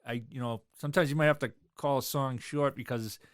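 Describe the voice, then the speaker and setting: A man's voice: medium pitch, fairly even intonation, a little fast, no echo, studio quality. A man, a drummer talking loosely in an interview, rambling with lots of fillers. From South Boston, USA. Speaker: drummer and guest. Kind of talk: casual interview chat.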